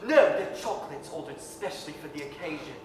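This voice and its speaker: A man playing a shy, smitten young apprentice, halting and courteous, in a staged 1930s-style radio drama. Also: fairly high pitch, expressive, close to an everyday voice. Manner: halting, flustered, courteous stammer. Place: performing arts center stage. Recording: echoey hall, distant, faint noise.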